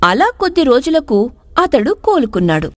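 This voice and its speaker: High-pitched woman narrating a story. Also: very animated, moderate pace, no room sound, studio quality.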